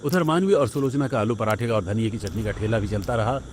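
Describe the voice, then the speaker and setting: A man's voice: on the low side, fast talker, a little hiss or hum. A man reading scripted story narration for a cartoon tale. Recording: background music under the voice.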